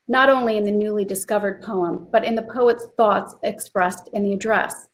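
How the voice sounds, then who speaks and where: A woman presenting literary history in clear, emphatic scripted narration. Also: medium pitch, poor audio.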